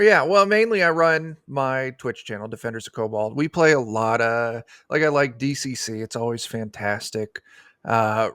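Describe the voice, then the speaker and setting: A man's voice: mid-range voice, vocal fry, a bit bright, no echo, studio quality. A man, chatting casually in a jokey, rambling way as a guest. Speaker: guest, streamer. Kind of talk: casual chat. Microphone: close desk microphone on a video call.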